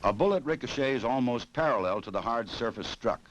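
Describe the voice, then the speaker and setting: An American man narrating a 1960s instructional film in a formal, measured, authoritative way, on an old film soundtrack. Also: mid-range voice, expressive, slight background noise, low-quality recording.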